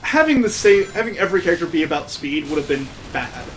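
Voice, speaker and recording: A man's voice: a little high, very animated, fast talker, soft background music, rough recording. A man hosting a let's play with casual, sarcastic and amused griping commentary on a video game.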